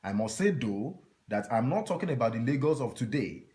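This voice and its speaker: A man reads a written passage aloud at an even pace. His voice sits in a middle pitch, plain and measured, like someone working through a text for listeners nearby. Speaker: reader of a book passage. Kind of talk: reading text aloud in a group discussion.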